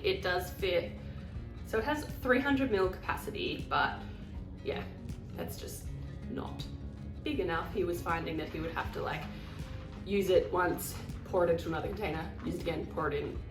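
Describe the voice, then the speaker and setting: Woman, nasal from a cold, lowish voice, rambling chatty haul talk, roomy distant sound, music behind. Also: animated, normal speed. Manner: chatty and rambling, self-deprecating. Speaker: vlogger. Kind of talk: casual haul vlog.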